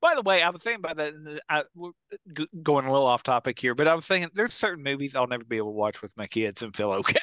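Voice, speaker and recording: Animated, high-pitched man podcasting. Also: quick pace, clear, resonant tone, no echo. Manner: animated, joking. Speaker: podcast co-host. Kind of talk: casual comedic group chat.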